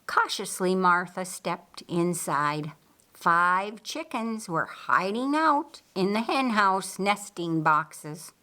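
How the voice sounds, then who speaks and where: A woman reading an audiobook story in a deliberate, expressive storytelling style. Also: fairly low pitch. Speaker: audiobook narrator. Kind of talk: scripted storytelling narration with acted dialogue.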